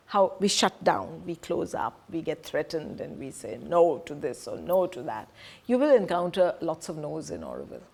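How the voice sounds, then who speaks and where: A woman's voice: low voice, gravelly, a little mellow. Earnest middle-aged woman presenter. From Punjab, India. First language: Hindi. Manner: earnest, emphatic, stresses key words. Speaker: presenter and teacher. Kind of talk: reflective talk to a group.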